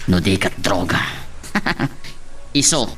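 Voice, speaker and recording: A man's voice: low voice, very animated, slow pace, fairly bright tone, slight background noise, full character voice. Jolly, cocky crook played by a man, swooping voice. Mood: goading. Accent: Ilocano. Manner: chuckles often, goading. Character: cocky, jolly male crook luring his pal into drug running. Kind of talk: Ilocano radio-style drama acting.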